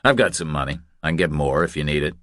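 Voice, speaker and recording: Casting: male narrator as tough, practical male drifter, extremely low voice, blunt, terse, dry close sound. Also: animated, fast, fairly bright tone, dry recording. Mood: urging, practical. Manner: terse, matter-of-fact. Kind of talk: audiobook character dialogue.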